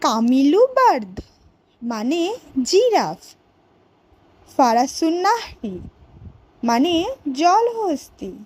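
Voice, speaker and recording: A woman voicing a cartoon schoolteacher who teaches vocabulary to young pupils in a deliberate, word-by-word way. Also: sits high, full character voice, poor audio. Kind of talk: educational cartoon vocabulary lesson.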